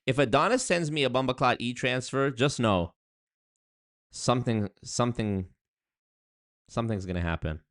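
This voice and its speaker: A man's voice: mid-range voice, moderate pace, long pauses, fairly bright tone, dry recording, studio quality. A man giving casual live-stream commentary on a video, wry and chatty, with restarts and asides.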